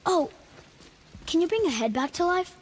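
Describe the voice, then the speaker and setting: A man's voice: very high-pitched, lively intonation, moderate pace. An actor playing an eager, curious young boy in a video game. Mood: excited. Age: child.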